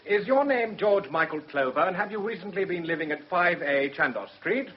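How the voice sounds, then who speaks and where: An actor playing a shrewd, controlled defence barrister in a radio drama, with a Received Pronunciation English accent and precise, formal questioning. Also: male voice, high voice, lively intonation, moderate pace. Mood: formal, probing. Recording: muffled, dull radio drama recording.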